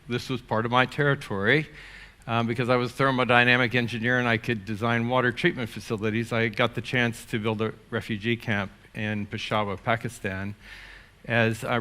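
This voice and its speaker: Bright-voiced older American man speaking. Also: mid-range voice. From United States. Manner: energetic, rambling with many fillers and restarts. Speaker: conference speaker. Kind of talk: keynote talk. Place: conference stage.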